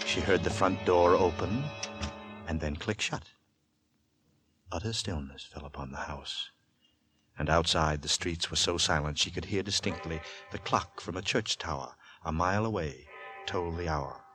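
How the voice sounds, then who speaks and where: A man narrating in 1940s radio drama style, literary, deliberate and suspenseful. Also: sits low. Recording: vintage 1940s radio with music.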